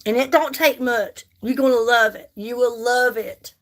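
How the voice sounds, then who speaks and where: A woman's voice: fairly high pitch, normal speed, a bit bright. A woman, chatting casually on a makeup livestream and answering viewer comments. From United States. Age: 50s. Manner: chatty, reads and answers viewer comments, repeats herself for emphasis. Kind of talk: casual livestream chat while doing makeup.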